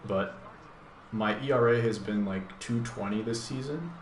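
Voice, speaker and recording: A man with a deep voice hosting a gaming channel, thinking aloud in a laid-back, rambling way with plenty of fillers while he plays. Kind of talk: casual live gameplay commentary. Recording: echoey room with background noise.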